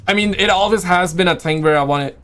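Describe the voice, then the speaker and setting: Higher-pitched man chatting casually on a livestream. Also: fairly quick, sharp tone. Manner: slangy, rambling, repeats phrases. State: losing his voice. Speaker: livestream host and video creator. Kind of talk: casual livestream chat with viewers.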